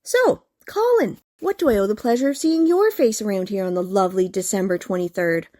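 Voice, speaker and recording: A woman's voice: medium pitch, expressive, fairly quick, darkish tone, no echo, studio quality, close to an everyday voice. An actress in a comic radio play playing a smug, scheming young woman with a sardonic, haughty, taunting manner.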